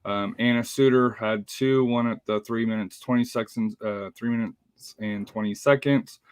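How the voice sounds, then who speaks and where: A man's voice: medium pitch, fairly even intonation, moderate pace, clean tone, dry recording, clean studio sound. A man, hosting a casual soccer talk show and rambling with lots of fillers. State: slightly raspy voice. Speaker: podcast host.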